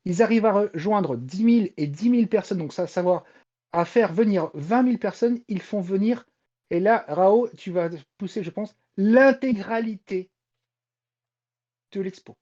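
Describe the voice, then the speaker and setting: A woman's voice: mid-range voice, normal speed, warm tone, dull audio. A woman explaining things as a panelist in an informal discussion, wordy and often searching for her words. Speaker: podcast panelist and reporter on Japanese pop culture.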